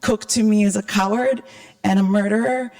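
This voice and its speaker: A woman from Hawaii gives a public talk as an invited panel speaker. Her voice is croaky and a little hoarse, at a medium pitch. She talks to the audience in a conversational, wry way. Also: steady tone, moderate pace, darkish tone, low-quality recording.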